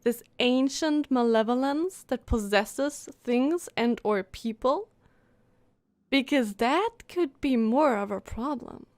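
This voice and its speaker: A woman giving casual live commentary as a game streamer, expressive and emotionally invested. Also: high-pitched, slow, no echo.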